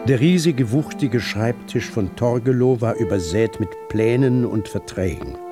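Actor as sardonic radio-play narrator, music behind. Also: male voice, medium pitch, close to an everyday voice. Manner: deliberate, sardonic, florid. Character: sardonic male narrator recounting his political past. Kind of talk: literary radio drama narration.

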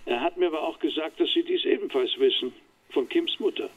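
Through a narrow, tinny phone line comes a male rabbi who plays detective. He is stubborn and quick to defend himself, speaking as in a radio drama. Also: a little high, husky. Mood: guarded. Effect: phone or radio filter. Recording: thin band-limited phone line.

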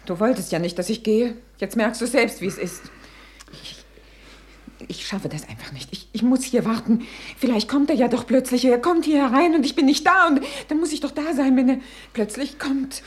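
Schauspielerin als verzweifelte, aufgewühlte Mutter im Hörspiel. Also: female voice, a little high, lightly acted. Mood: anguished. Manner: halting, agitated, breaking into whispers. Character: distraught middle-class mother, anxious, reproachful, despairing. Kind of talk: German radio play acting.

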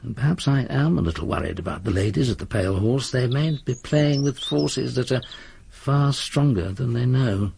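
An actor playing a worldly middle-aged English gentleman in a radio drama, husky and urbane, with measured, courteous speech. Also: medium pitch, lively intonation, exaggerated voice. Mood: grave, brooding. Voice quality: husky, gravelly.

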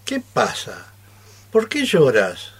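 A performer voicing a gentle, concerned male deer in a radio storybook tale, husky and questioning. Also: mid-range voice, wildly swooping pitch, slow pace, exaggerated voice.

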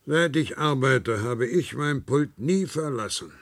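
An actor playing a dutiful, stubborn 95-year-old worker in a radio play, husky and gravelly, prone to stammering. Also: male voice, medium pitch, expressive, a little slow, fairly bright tone. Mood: calm, proud. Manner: stammers and repeats words when agitated.

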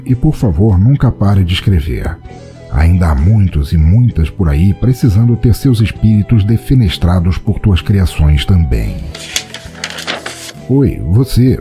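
A man's voice: deep voice, warm tone. A Brazilian man hosting a podcast, reading scripted narration and listener feedback in a theatrical, playful, informal manner. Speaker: podcast host.

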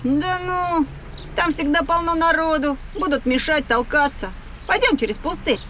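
Reckless schoolboy, high voice, breezy bragging manner, children's audio drama, slightly distant, some background noise. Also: female voice, normal speed, fairly bright tone. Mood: confident, persuading. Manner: breezy, boastful, dismissive. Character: reckless, cocky, carefree schoolboy.

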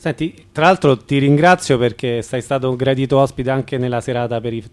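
A man's voice: medium pitch, steady tone, brisk. A man hosting a radio show, interviewing his guest in casual conversation. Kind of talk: casual chat.

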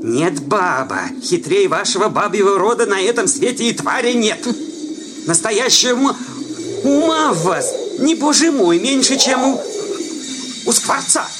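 An actor playing a jealous, superstitious village sexton in a radio drama, accusing and sputtering with sing-song exclamations. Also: male voice, high voice, lively intonation, unhurried, background noise. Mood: agitated warning. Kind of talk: theatrical radio drama dialogue. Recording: loud music behind the voice.

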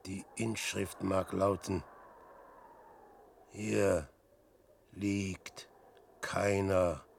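An actor in a classic German radio play, playing an aging, despairing wanderer who speaks slow, declamatory verse. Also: male voice, sits low, flat delivery, creaky, slight background noise, exaggerated voice. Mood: lamenting. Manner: slow, declamatory verse, swinging from loud outbursts to hushed murmurs. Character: Peer, an aging despairing wanderer facing death.

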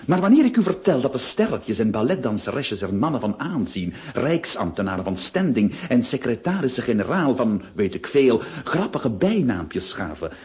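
An actor playing a pompous, well-to-do middle-aged notary who narrates a radio play with theatrical pauses and rhetorical questions. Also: male voice, lowish voice, darkish tone. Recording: dull lo-fi old radio recording.

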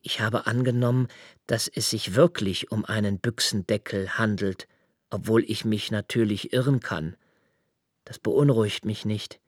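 An actor playing a brooding, solitary male lodger who muses precisely and haltingly in a literary radio play monologue. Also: on the low side, dry recording, clean studio sound. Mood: quiet, pensive. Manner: deliberate, precise, halting self-corrections. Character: brooding, obsessively observant solitary male lodger, musing alone.

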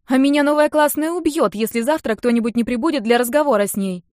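Woman playing little sister, schoolgirl, high-pitched, cheeky, whiny, bratty slang, audiobook, dry sound. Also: a little mellow, dry recording, natural voice. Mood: aggrieved. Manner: bratty, drawn-out slangy complaining.

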